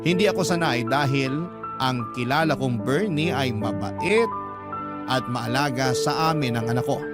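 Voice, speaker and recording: A man's voice: mid-range voice, normal speed, natural voice. A man performing first-person radio drama narration as a heartbroken letter writer confessing a secret affair. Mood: hurt. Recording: loud music bed behind voice. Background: loud instrumental music.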